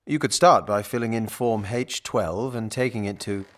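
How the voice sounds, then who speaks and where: An actor playing an officious, haughty royal castellan in a video game, with a pompous bureaucratic manner. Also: male voice, fairly low pitch, moderate pace, a bit bright, close to an everyday voice. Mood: officious, dismissive. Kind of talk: video game character acting.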